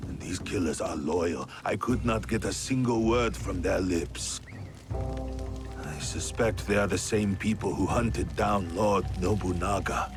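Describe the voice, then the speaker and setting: Deep, brooding samurai lord. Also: male voice, unhurried. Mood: bitter. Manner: brooding, weighty delivery. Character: grave, weary noble samurai lord, proud and brooding. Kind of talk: video game cutscene acting. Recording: music clearly behind the voice.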